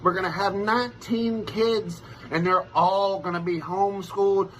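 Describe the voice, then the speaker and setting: A man's voice: high-pitched, fairly even intonation, normal speed, a little hiss or hum, lightly acted, low-quality recording. A man playing a cocky, domineering rural bachelor in a comic monologue, with a folksy Southern US accent. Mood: brash, boastful. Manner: folksy, brash.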